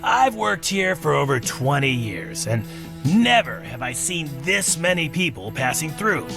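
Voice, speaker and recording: A man's voice: a little high, very animated, unhurried, gravelly, music in the background, full character voice. A man playing a folksy, teasing old gas station attendant in a visual novel, telling tales. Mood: folksy, matter-of-fact. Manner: drawling storyteller, folksy and teasing.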